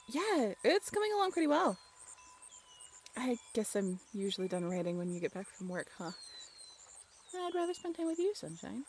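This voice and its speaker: Woman acting a lively, high-voiced playful young writer. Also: vocal fry, a little hiss or hum. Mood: content, tender. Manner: chatty, teasing, giggly. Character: playful, tough-talking but shy young writer, affectionate friend. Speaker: voice actor in an audio roleplay. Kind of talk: intimate conversational audio roleplay acting.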